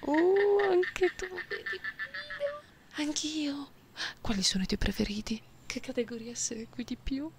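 Casting: woman playing playful, affectionate cat-girl for ASMR roleplay. Unhurried, soft, soothing, gravelly fry; noisy close binaural recording. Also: sits high, lively intonation, full character voice. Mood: playful, curious. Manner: soft, teasing, soothing, slipping into whispers.

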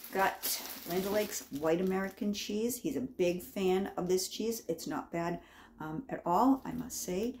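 A woman's voice: mid-range voice, darkish tone, slight background noise. A woman in her fifties chatting casually to camera in her kitchen, rambling a little and repeating herself as she goes. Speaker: home vlogger showing a grocery haul. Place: home kitchen, indoors.

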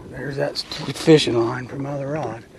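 A middle-aged man fishing from a kayak, talking excitedly to himself as he fights and lands a fish, picked up by a mounted action camera out on the water. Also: mid-range voice, fairly quick. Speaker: kayak angler. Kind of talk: live on-the-water commentary. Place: kayak on open water, outdoors, windy. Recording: wind noise on action camera.

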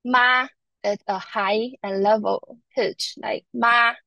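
A woman's voice: a little high, steady tone, slow, creaky, pushed and bright, no room sound. A young woman from Taiwan with a Taiwanese Mandarin accent, patiently teaching a live online Chinese lesson. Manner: patient, explains step by step. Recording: dull lo-fi online stream audio.

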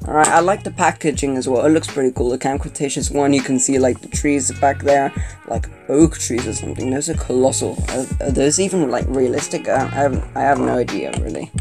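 A man's voice: medium pitch, fairly quick, creaky, few pauses. A young man from Colombia, talking casually while unboxing and reviewing a toy, rambling with lots of fillers and restarts. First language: not Spanish. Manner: chatty, hesitant, many fillers and restarts. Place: indoor room at a table. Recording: background guitar music. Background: guitar music.

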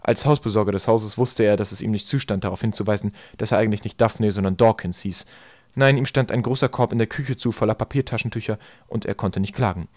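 A man's voice: on the low side, fast, no echo. A man narrating a storybook as a scripted audiobook reading. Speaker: audiobook reader.

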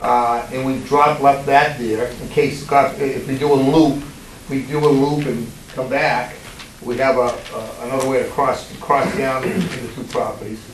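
A man presenting his development plans at a public meeting, explaining in a halting, apologetic way. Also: mid-range voice, fairly even intonation. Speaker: property developer applicant. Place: town hall meeting room. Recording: roomy, distant, hissy meeting room audio.

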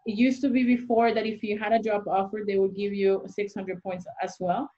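A young woman speaks at a middle pitch over a dull, lo-fi video-call line. She is an immigration consultant, and she walks through the rules step by step with examples. She talks into a webcam in a small room. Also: little pitch movement, a little fast, dark tone. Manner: explains step by step with worked examples.

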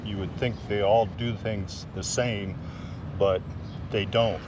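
A man's voice: fairly low pitch, fairly even intonation, slow, background noise. A man working as an energy auditor, telling anecdotes in an interview. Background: clear background music.

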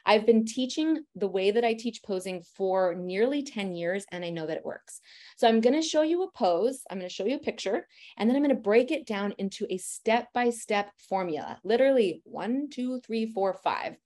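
A woman in her thirties talks through a photography class with loud, chatty energy. Her voice sits at an ordinary middle pitch. She speaks directly and warmly encourages her students to try things out. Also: animated, normal speed. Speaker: family photographer teaching posing. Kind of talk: presentation lecture.

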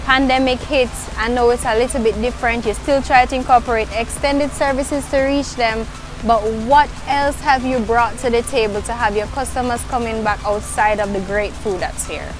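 A woman's voice: on the high side, steady tone, moderate pace. A young woman with a Jamaican accent, hosting a casual interview outdoors. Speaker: interviewer, show host. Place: outdoors on a sandy beach. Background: music.

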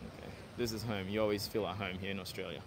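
A man's voice: on the low side, quick pace. A young Ghana-born man live-streaming outdoors on a sunny city street, chatting casually with viewers and filling his talk with "okay" and "yeah". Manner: relaxed, repetitive, drops in "okay" and "yeah" often. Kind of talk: casual live-stream chat, reading viewer comments aloud. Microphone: phone held at arm's length. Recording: phone recording on a noisy street. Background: street and traffic noise.

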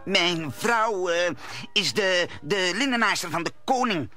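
An actor playing a fretful landlord and husband in a radio play, nervous and halting, stammering as he speaks. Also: high voice, animated, pushed and bright, soft background music, full character voice. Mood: nervous, confiding. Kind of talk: Dutch radio play acting.

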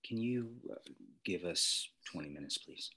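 Slow, very deep male nurse acted out. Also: expressive, pushed and bright, heavily acted. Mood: hesitant. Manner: hesitant. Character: uniformed hospital nurse, polite and halting. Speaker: actor at a table reading.

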